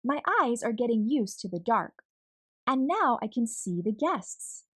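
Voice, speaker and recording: Animated woman reading a picture book. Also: a little high, unhurried, husky. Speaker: children's book reader. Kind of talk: read-aloud storytelling.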